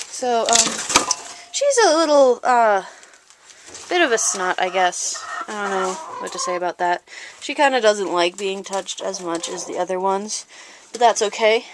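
A young woman giving a casual handheld tour of her chickens and rabbits. She chats along and coos at the animals. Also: medium pitch. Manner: chatty, talks baby-talk to her animals. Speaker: small farm owner showing her animals. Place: outdoor coop and rabbit hutches. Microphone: handheld camera held close. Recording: noisy outdoor camera audio. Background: clucking chickens and birds.

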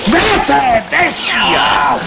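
An actor playing an anguished, feverish lost man in a horror radio play, with a Castilian Spanish accent and a theatrical, halting, solemn delivery. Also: very high-pitched, huge pitch swings, gravelly, exaggerated voice. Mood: frightened. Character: anguished, feverish lost man narrating his own haunting. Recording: noisy radio drama recording.

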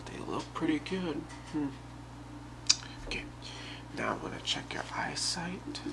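A young man playing an obsessive, calmly menacing boyfriend in a halting, soft, half-whispered ASMR role play. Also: fairly high pitch, creaky. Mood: calmly controlling. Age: young adult. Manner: halting, soft-spoken, unhurried. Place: small bedroom, indoors. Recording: very noisy webcam-style home recording.

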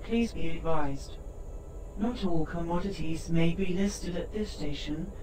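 A woman's voice: on the low side, measured pace, noisy background. A woman voicing a polite spaceship system computer, giving formal procedural announcements with an echoing computer effect. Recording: echoing voice under prominent music.

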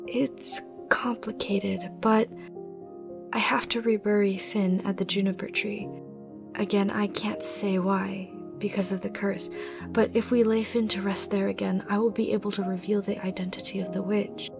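A woman voicing an anxious, cunning young girl in an animated drama, earnest and guarded, sometimes breathy. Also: mid-range voice, moderate pace, natural voice. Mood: anxious, pleading. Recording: music under the dialogue.

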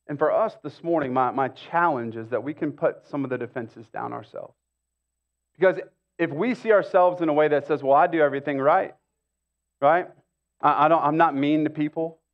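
A man's voice: mid-range voice, normal speed, muffled recording. A middle-aged American man, a pastor, giving a conversational sermon with casual asides and repeated phrases for emphasis. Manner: casual, with repetition and rhetorical asides. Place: stage of a church auditorium.

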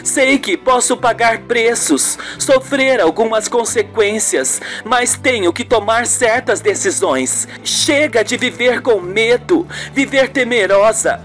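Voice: mid-range voice, slow pace, hardly any pauses, a bit bright. A performer voicing a passionate, anguished woman in love in a radio soap opera, earnest and melodramatic. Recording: music behind the voice.

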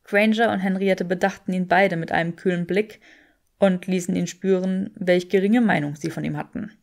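A woman's voice: on the low side, fairly even intonation, normal speed, creaky, darkish tone. A woman reading fiction aloud as an audiobook narrator.

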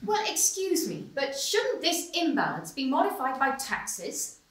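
An actress playing a polite, earnest TV debate moderator in a satirical stage play. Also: female voice, sits high, wildly swooping pitch, a little slow, fairly bright tone, heavily acted. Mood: polite challenge. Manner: polite, formal, questioning. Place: small stone-walled theatre stage. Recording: roomy stage sound, distant mic.

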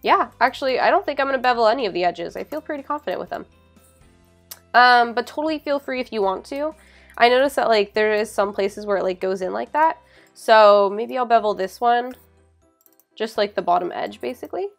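A young adult woman narrating a software tutorial in a casual, informal way, often saying "like". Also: fairly high pitch, lively intonation, brisk, creaky. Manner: informal, hedging with frequent "like". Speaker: tutorial presenter. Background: background music.

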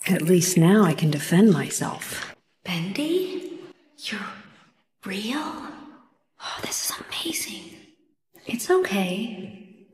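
A woman playing an anxious but plucky, kind-hearted young animator in a horror video game, expressive and often talking to herself. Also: fairly high pitch, long reverb tail. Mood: bewildered, wary, then awed, gentle. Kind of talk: dramatic horror game voice acting.

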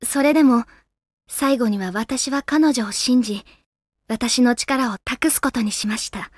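A woman playing a gentle, solemn female guardian spirit in a Japanese video game, speaking politely and formally with composure. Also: fairly high pitch, a little slow, husky, no echo, studio quality. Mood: serene, warm.

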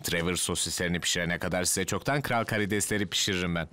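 Confident low-voiced cartoon show-off. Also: male voice, fast talker, no echo. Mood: confident, showy. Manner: self-assured. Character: confident, showy, competitive male barbecue cook. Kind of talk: animated children's series dub. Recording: loud music behind the voice.

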